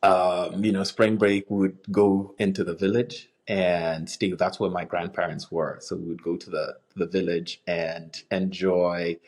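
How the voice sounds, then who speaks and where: A man's voice: sits low, level tone, measured pace, few pauses, darkish tone. A young man from Kenya with a Kenyan accent, a nonprofit guest talking earnestly over a video call, with fillers and restarts along the way. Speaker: nonprofit community engagement manager, guest. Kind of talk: casual interview conversation.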